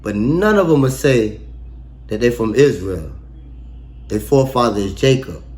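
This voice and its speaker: A man's voice: mid-range voice, animated, a little mellow. A man reading scripture verse by verse and explaining it in casual, slangy, emphatic talk with rhetorical questions. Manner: asks rhetorical questions and pauses for effect. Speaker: online bible teacher. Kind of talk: casual verse-by-verse bible breakdown.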